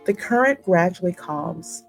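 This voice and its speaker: A woman's voice: fairly low pitch, expressive, vocal fry. A woman hosting a gaming channel, narrating a story game and adding her own spoken asides. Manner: reads narration slowly, reacting aloud in asides. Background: music behind the voice.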